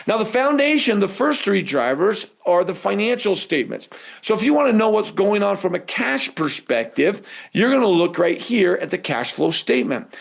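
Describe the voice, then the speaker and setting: A man's voice: sits high, very animated, normal speed, creaky. A man teaching a live webinar in a conversational way, prompting listeners with rhetorical questions. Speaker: webinar instructor.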